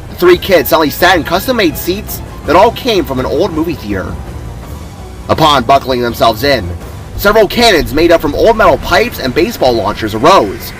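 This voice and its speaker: Animated man narrating an adventure story. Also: fairly high pitch, low-quality recording. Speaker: narrator reading own story. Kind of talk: dramatic scripted narration.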